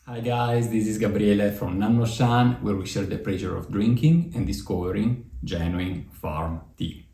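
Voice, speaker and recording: A man with an Italian accent hosting a tea tasting, talking in a relaxed, chatty way with frequent fillers. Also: lowish voice, normal speed, vocal fry, reverberant. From Italy. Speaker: tea channel host.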